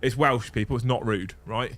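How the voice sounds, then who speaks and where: A man's voice: medium pitch, normal speed, fairly bright tone. A man, hosting a casual livestream, bantering, swearing and laughing. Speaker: livestream host.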